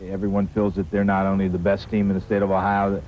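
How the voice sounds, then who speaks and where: A man speaks quickly in a lowish, flat voice, answering conversationally in an interview. The recording is a little dull. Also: faint music behind. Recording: dull sound, faint noise.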